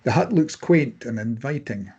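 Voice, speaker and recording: A man reads scene directions as the narrator of a drama script. His voice sits at a medium pitch and has a husky grain. The delivery is scripted narration. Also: animated, moderate pace, dark tone, lo-fi sound. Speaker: narrator reading stage directions.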